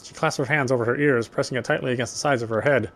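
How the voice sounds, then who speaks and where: A man with a mid-pitched voice reads story narration from a visual novel. He takes it in a measured, literary manner, occasionally tripping on a phrase and going back over it. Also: a bit bright. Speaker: let's player reading game text.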